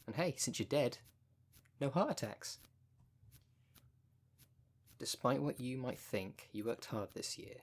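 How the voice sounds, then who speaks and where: A man's voice: medium pitch, animated, takes it slowly, long pauses. A man playing a wry, caring, foul-mouthed necromancer who coaches a zombie fighter in a casual, chatty ASMR audio roleplay. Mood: warm, encouraging. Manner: chatty, colloquial, wry, consoling.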